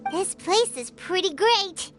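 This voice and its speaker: A woman voicing a small, cheerful, childlike fairy companion in a video game, with a squeaky, perky delivery. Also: expressive, slow pace, soft background music, exaggerated voice. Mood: agreeable. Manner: perky, refers to herself in third person. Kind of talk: video game character acting.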